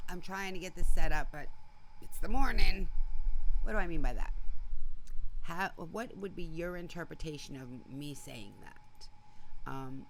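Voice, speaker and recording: A woman's voice: sits low, gravelly, slight background noise. A woman hosting a casual morning livestream, chatting and rambling with viewers by name while she is losing her voice. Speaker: livestream host. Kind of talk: casual chat with live viewers.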